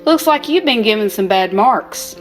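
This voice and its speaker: Grandma voicing a gentle old woodcarver. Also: female voice, a little high, expressive, moderate pace, soft background music, natural voice. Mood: reassuring. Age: older. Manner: gentle, warm, reassuring. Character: kind old bearded male woodcarver.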